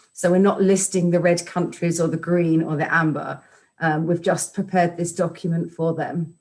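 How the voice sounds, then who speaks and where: A woman's voice: fairly low pitch, a little mellow. A woman on a video call panel, speaking casually and laughing easily.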